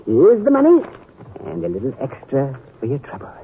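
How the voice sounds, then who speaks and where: An actor playing an excitable old miser in a western radio drama, with a gravelly, husky voice. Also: male voice, mid-range voice, moderate pace, slight background noise. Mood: giddy, jolly. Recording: tinny, phone-like radio recording.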